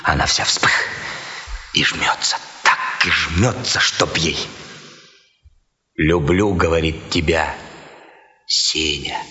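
An actor playing a garrulous peasant telling gossip over drinks in sly, folksy speech, in a radio dramatization. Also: male voice, extremely low pitch, steady tone, bright, forceful tone, full character voice. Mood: hushed, conspiratorial. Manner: folksy, sly, drawn-out telling with repeated words. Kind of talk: dramatized literary storytelling in rustic folk speech. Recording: dull, echoey hall-like reverb.

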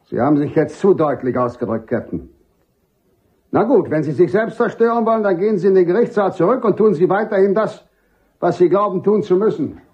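An actor playing an overbearing, cynical army general in a radio play, blunt and commanding. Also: male voice, high voice, fairly quick, lightly acted. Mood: cold, threatening. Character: overbearing, cynical general dressing down his subordinate. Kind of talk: radio drama acting. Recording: noisy, muffled old radio recording.

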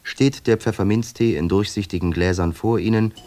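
An actor playing an asylum inmate who narrates a radio play in a measured, obsessive, self-correcting way. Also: male voice, on the low side, background noise, close to an everyday voice.

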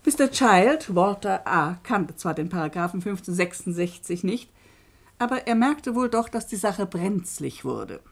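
An actress playing a radio play presenter who explains a case in a pointed, emphatic, ironic way. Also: female voice, medium pitch, expressive, measured pace. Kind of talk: German radio drama narration addressed to listeners.

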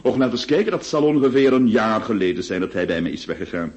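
A man's voice: fairly low pitch, lively intonation, fast talker, darkish tone, dull audio, natural voice. A man playing a timid, polite notary in a radio drama, hesitant and stammering. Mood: musing.

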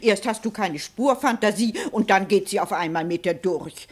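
An actress playing a resolute, domineering woman in a German radio play, commanding and brisk, with little patience for sentiment. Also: mid-range voice, close to an everyday voice. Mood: stern, scornful. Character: resolute, domineering woman defending her home, scornful of sentiment.

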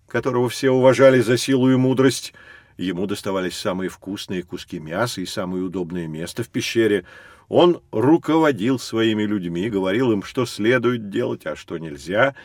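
An actor playing a learned teacher who explains history to children in a storytelling manner, in an educational audio drama. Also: male voice, fairly low pitch, expressive, moderate pace. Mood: explanatory.

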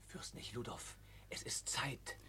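An actor playing a formal, discreet tsarist prison official in a German radio play, speaking in a measured way. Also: male voice, sharp tone, in a whisper. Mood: discreet, quiet.

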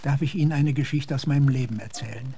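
An actor playing a middle-aged, philosophical gentleman and former officer in a German radio drama, speaking formally, deliberately and thoughtfully. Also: medium pitch, faint music behind, natural voice. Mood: grave storytelling.

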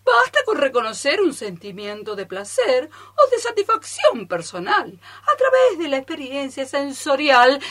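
A woman's voice: high-pitched, sharp tone, heavily acted. A woman voicing a pompous, long-winded animal lecturer in a children's radio play, with an academic manner.

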